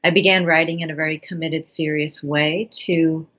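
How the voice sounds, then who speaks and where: A woman's voice: low-pitched, steady tone, normal speed, fairly bright tone. A woman in her thirties from Bangladesh, a writer and actress guest talking thoughtfully and earnestly in an interview. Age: 30s. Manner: thoughtful, earnest, with frequent restarts. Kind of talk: reflective interview talk. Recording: dull lo-fi sound.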